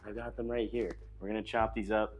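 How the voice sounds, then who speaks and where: A young man talking viewers through a recipe in a small studio kitchen set, relaxed and chatty, with music playing underneath. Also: fairly low pitch, brisk. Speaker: cooking vlogger. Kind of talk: casual cooking demonstration. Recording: clear background music under voice.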